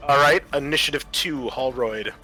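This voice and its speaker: Male game master, bright forward voice, improvised rulings and scene narration over music. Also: mid-range voice, measured pace.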